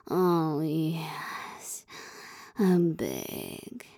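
A woman speaking in a soft, coaxing, teasing whisper for a roleplay audio, with sighs and moans. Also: low-pitched, animated, extremely slow, no room sound. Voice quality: whispery, breathy.

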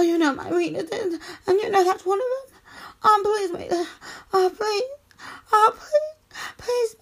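Woman acting a very high-voiced submissive, pleading lover. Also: a bit bright, heavily acted. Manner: breathy moaning and begging. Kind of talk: erotic audio roleplay.

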